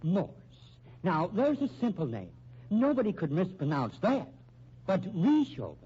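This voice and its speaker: A man's voice: sits high, wildly swooping pitch, a little slow, warm tone, husky, full character voice. An actor playing a timid, fussy, henpecked man in a 1950s radio drama, hesitant, apologetic and over-precise. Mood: flustered, aggrieved. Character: timid, fussy, henpecked mild citizen reporting a body. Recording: muffled old 1950s radio recording.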